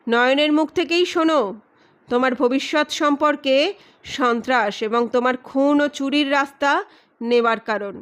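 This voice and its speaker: A sardonic male private detective in an audio mystery, voiced by a woman, pitched high. He speaks with deliberate authority, accusing and giving orders. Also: a bit bright. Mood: stern, accusing.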